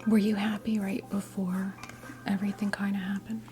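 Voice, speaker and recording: An American woman in her fifties whispers in a soothing, halting, conversational way as she role-plays a tarot reading for ASMR. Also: medium pitch, little pitch movement. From New Jersey, United States. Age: 50s. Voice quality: breathy whisper. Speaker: ASMR creator role-playing a witch tarot reader. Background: soft background music.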